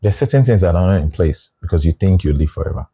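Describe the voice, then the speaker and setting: Low husky Nigerian man, reflective. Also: lively intonation, fast, warm tone. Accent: Nigerian English. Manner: reflective, thinking aloud. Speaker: guest. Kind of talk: casual interview chat.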